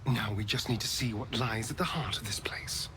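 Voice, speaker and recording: An actor playing a sardonic, guilt-ridden vampire spawn in a video game, theatrical and given to dramatic breathy pauses. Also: male voice, on the low side, noisy background, natural voice. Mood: awed, brooding.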